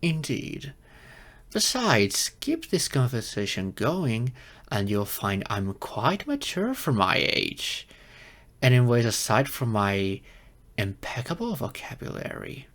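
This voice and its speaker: A man's voice: mid-range voice, big pitch swings, slow pace, a bit bright, full character voice. A man voicing a geeky young silver fox kitsune in an ASMR roleplay, flustered, hesitant and earnest, with slightly formal wording. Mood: gently proud. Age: young adult.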